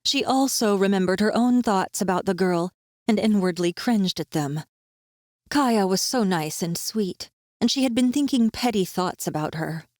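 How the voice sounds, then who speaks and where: A woman's voice: medium pitch, moderate pace, a little mellow, no room sound. Woman narrating fantasy, very dry sound. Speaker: audiobook narrator. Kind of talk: scripted fantasy story narration.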